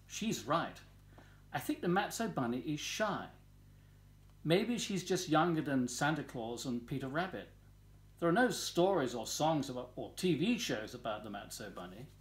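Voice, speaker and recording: Man in his sixties, slightly high voice, lively intonation, children's storybook reading, expressive and deliberate delivery, home living room. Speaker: volunteer story reader.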